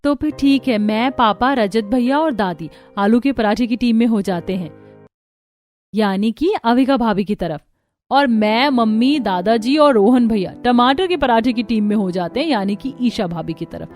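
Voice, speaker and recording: A woman's voice: fairly high pitch, normal speed, darkish tone, soft background music, lightly acted. A woman voicing the competitive, fretful women of a squabbling family in a cartoon. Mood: worried. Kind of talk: cartoon voice acting.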